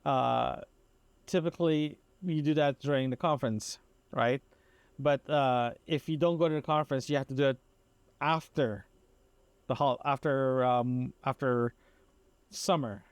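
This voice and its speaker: A man recording a casual, rambling podcast diary, talking haltingly, often repeating and restarting his phrases. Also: mid-range voice, smooth, no echo. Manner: halting, repetitive, self-interrupting. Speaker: podcast host.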